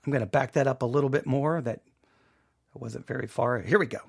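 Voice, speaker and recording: A man hosting a casual, personal commentary, speaking emphatically with halting repetitions. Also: medium pitch, vocal fry, slightly rough. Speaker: host commenting on a radio drama.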